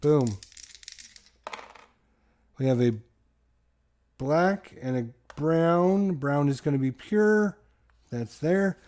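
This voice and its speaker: Middle-aged male host, board game channel; energetic announcer-style commentary on his own play. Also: a little high, extremely slow, long pauses, slight background noise. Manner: hyped, sports-announcer style play-by-play to viewers.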